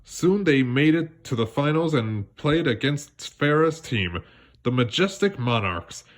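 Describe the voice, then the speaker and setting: A man reads a children's story aloud with very animated pitch. He narrates expressively and now and then trips over a word and corrects himself. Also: measured pace. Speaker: storybook reader.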